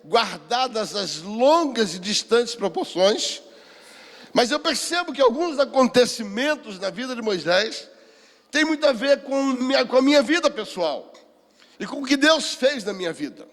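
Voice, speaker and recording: A Brazilian man in his sixties giving a sermon of personal testimony, speaking deliberately and repeating phrases for emphasis. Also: very high voice, lively intonation, fairly bright tone. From Rio de Janeiro, Brazil. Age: 60s. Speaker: pastor, seminar speaker. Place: church hall, speaking to a seminar. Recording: reverberant hall.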